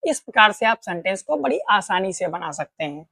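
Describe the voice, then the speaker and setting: A woman's voice: medium pitch, big pitch swings, a little fast, creaky, sharp tone, no room sound, clean studio sound. A woman teaching a patient, step-by-step grammar lesson, asking questions and answering them herself. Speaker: English teacher.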